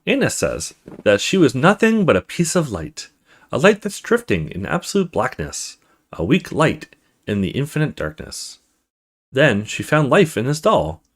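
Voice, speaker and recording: An earnest little girl from a horror visual novel confides in her mother, read out by a man. The delivery is childlike and animated, with the pitch often lifting. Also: moderate pace, slightly rough.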